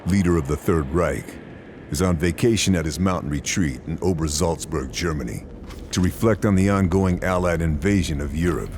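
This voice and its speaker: An actor playing a grave wartime intelligence officer, giving a measured, serious mission briefing in a video game. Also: male voice, sits low, a little mellow, a little hiss or hum, natural voice. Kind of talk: scripted military briefing narration.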